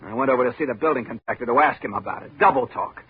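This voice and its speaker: An actor playing a beaten, sardonic, world-weary architect with an eloquent, theatrical manner in a 1950s radio drama. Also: male voice, medium pitch, very fast, natural voice. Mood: bitter irony. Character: bitter, beaten, sardonic male small-town architect. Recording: narrow muffled vintage radio recording.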